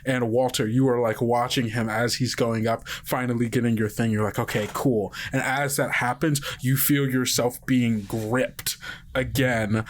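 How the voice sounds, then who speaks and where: A man running a tabletop game as game master, improvising vivid narration in a halting, conversational way. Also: medium pitch, steady tone, slight background noise.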